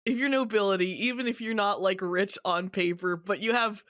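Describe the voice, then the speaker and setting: A podcast host with a mid-pitched voice, recorded close and dry. The delivery is a casual, wry recap that mixes narration with offhand commentary and some swearing. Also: brisk, a bit bright, no echo.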